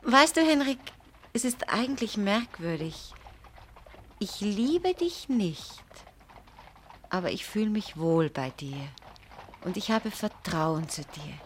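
An actress in a German radio play, playing a frank, affectionate young wife who speaks earnestly and confidingly. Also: female voice, medium pitch, expressive, takes it slowly, long pauses, a little hiss or hum. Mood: tender, confiding.

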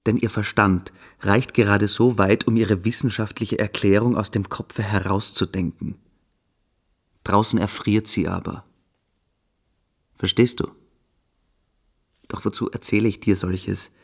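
Scornful schoolboy, low and husky. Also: male voice, steady tone, a little slow, long pauses. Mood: smug, condescending. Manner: lecturing, insistent, condescending. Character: Beineberg, scornful, dogmatic older schoolboy, condescending to his friend. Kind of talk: German literary radio drama acting.

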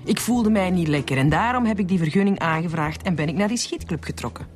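A woman's voice: fairly low pitch, animated, fast talker, soft background music, lightly acted. An actress playing a defensive, impatient businesswoman with a Flemish accent, questioned by police in a radio drama.

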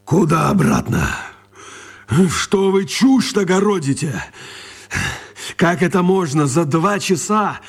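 A loyal, worried dog from a radio story. The voice is high and unhurried. It protests plaintively, like a character in distress. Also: male voice, sing-song, husky, full character voice. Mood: indignant, upset. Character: anxious, loyal talking male dog.

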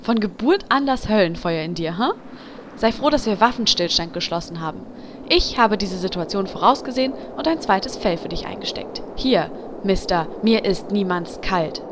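A woman playing a sharp-tongued adventurer in a comedic audio drama, dry and teasing. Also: medium pitch, animated, normal speed, background noise, natural voice. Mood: mocking.

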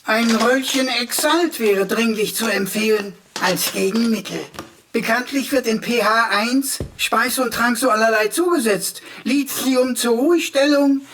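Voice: medium pitch, a little slow, a bit bright, a little hiss or hum, natural voice. An actor voicing a snarky, pedantic pocket computer sidekick with florid, mocking, theatrical phrasing in a radio play. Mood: theatrical fussing.

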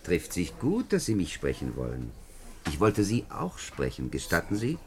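An actor playing a self-important middle-aged French parliamentary deputy in a 1950s German radio drama, speaking in a pompous, deliberate, emphatic way. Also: male voice, low-pitched, wildly swooping pitch, background noise, heavily acted. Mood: eager, formal.

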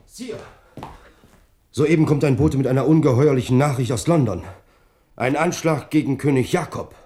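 Man acting urgent courtier, medium-pitched male voice, formal report, alarmed, historical radio drama. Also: moderate pace, mellow, natural voice. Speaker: actor. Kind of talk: German radio play acting.

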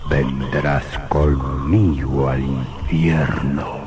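An actor playing a menacing, commanding Satan statue come to life in a radio horror drama, with a gruff, solemn, threatening delivery. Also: male voice, little pitch movement, very slow, music in the background, full character voice. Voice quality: gruff, booming.

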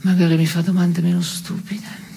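Fields: Sex: woman. Role: blunt, deadpan daughter. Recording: noisy. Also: fairly low pitch, normal speed, gravelly. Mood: wry. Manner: terse, deadpan. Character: blunt, disenchanted daughter recounting family indignities. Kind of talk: stage-play dialogue for radio.

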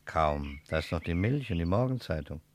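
Private detective, lowish pitch, composed, inquisitive, 1960s radio drama, faint noise. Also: male voice, expressive, moderate pace. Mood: searching. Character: male private investigator, composed and probing. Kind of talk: 1960s German radio crime drama acting. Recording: 1960s radio production, audible background noise.

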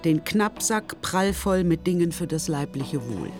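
A woman narrates a radio play in scripted storybook style. Her voice sits a little low. Music plays clearly behind her. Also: expressive, unhurried.

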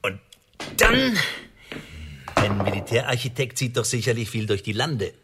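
An actor playing a smug, menacing secret agent in a historical radio play, speaking with deliberate, mock-friendly threat. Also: male voice, medium pitch, very animated, heavy background noise. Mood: needling, probing.

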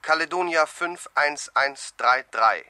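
An actor playing an impassive morgue attendant over the telephone in a radio thriller, measured and ominously formal. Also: male voice, fairly high pitch, bright, forceful tone, dry recording, exaggerated voice. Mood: cold, formal. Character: slow, impassive male city morgue attendant. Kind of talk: 1970s German radio thriller acting.

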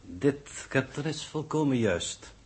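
A man's voice: mid-range voice, expressive, slow pace, a little mellow. An actor playing a coolly scientific, formally polite male spaceship coordinator in a 1960s Dutch radio drama. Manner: stiff, formal, clipped politeness.

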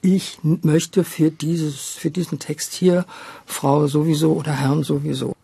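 An older woman, a former radio drama director, talking reflectively and a little haltingly in an interview, repeating words for emphasis. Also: low-pitched, flat delivery, gravelly, warm tone, breathy edge, no room sound.

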